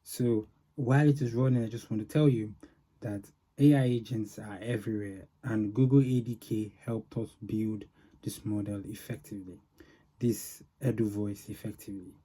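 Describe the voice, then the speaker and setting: A man giving an informal software demo as a developer, narrating in halting phrases with pauses. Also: on the low side, steady tone, breathy edge, rough recording. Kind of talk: informal product demo walkthrough.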